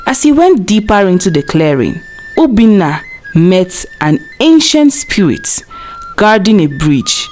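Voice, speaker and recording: A woman's voice: mid-range voice, very animated, a little slow, darkish tone, slight background noise. A woman storyteller narrating a scripted folktale in a dramatic storybook delivery.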